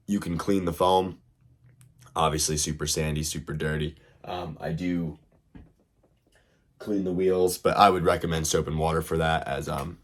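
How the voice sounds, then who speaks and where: Brief: young man, very low voice, hoarse from illness, loose and rambling how-to host. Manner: loose, rambling, lots of fillers. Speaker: RC hobby video host. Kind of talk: casual how-to tutorial.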